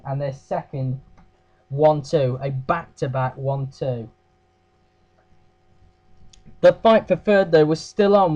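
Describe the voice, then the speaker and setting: A man with a croaky voice giving a casual race recap, hesitant, with fillers and self-corrections. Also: on the high side, warm tone, lo-fi sound. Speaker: race review host.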